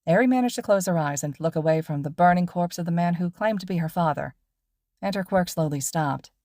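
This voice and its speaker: A woman reading fan fiction aloud as an audiobook narrator, in a steady storytelling manner. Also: fairly low pitch, a little fast, no room sound, studio quality. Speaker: podfic reader.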